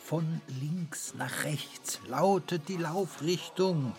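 A man's voice: a little high, slow pace, vocal fry, darkish tone, soft background music. A man acting as a musing observer in a literary radio play, speaking with hesitant interjections. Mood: musing, apologetic. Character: musing male observer commenting on a panorama.

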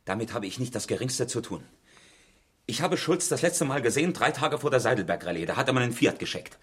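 A man's voice: medium pitch, lively intonation, a little fast, fairly bright tone, husky. A man acting an indignant, evasive engineer under police questioning in a 1970s East German radio crime drama, defensive in manner. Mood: guarded. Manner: protesting, defensive. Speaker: actor.

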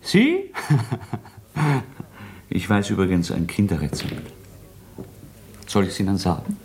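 An actor in a German radio drama playing a brooding young murder suspect with a theatrical, mocking delivery that shifts between hushed and explosive. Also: male voice, low voice, huge pitch swings, slow, slight background noise, heavily acted. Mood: taunting, provocative. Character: feverish, taunting young male murder suspect, brooding and mercurial.

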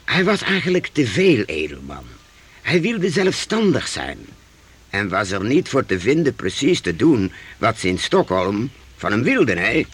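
Bright-voiced, avuncular older mentor. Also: male voice, medium pitch, huge pitch swings, unhurried, slightly rough, heavily acted. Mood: explanatory. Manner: avuncular, old-fashioned theatrical phrasing. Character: kindly older Swedish mentor to two young friends. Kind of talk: radio drama acting.

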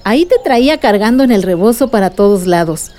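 An actress playing a caring aunt in a radio drama, patiently telling family history in a reassuring way. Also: female voice, medium pitch, expressive, a little fast, mellow, lightly acted.

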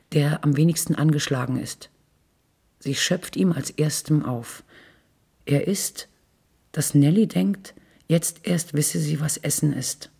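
Mellow, creaky, reflective narrator. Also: female voice, sits low, exaggerated voice. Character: thoughtful narrator recalling a refugee campfire. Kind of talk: literary reading in a radio play.

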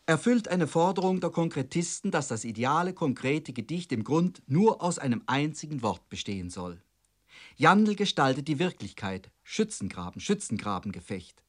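A man presenting a scripted, didactic lecture on literature in a formal manner, stressing key words. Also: fairly high pitch.

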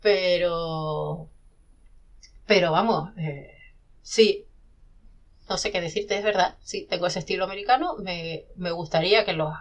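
A woman's voice: lowish voice, muffled recording. A woman, a self-published novelist, talking animatedly in a casual podcast conversation with many restarts.

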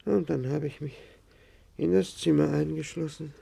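A hunted old man in a vintage radio drama, bitter and afraid. His voice is very creaky and dark in tone, pitched a little high. He pleads haltingly, circling back over the same words. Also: steady tone, exaggerated voice. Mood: anxious, bitter. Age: older. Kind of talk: 1950s German radio play acting. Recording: 1950s radio play recording.

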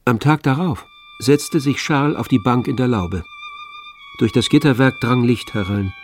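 A man narrating a tragic novel for a radio play in a measured, literary manner. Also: medium pitch, natural voice. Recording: dry studio with faint music.

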